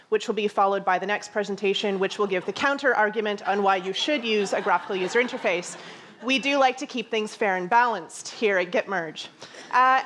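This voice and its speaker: Canadian lady doing a conference talk, kinda high voice, dry jokes, headset mic. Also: a bit bright. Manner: conversational with dry asides. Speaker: conference speaker. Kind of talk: conference presentation. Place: large dark theater stage.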